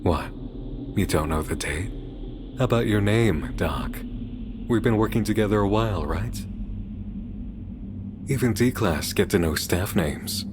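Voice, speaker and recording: A man playing a troubled, defiant man trapped in a story, with intense, halting, demanding delivery in a dramatic visual novel style. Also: fairly low pitch, lively intonation, noisy background. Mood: agitated, demanding.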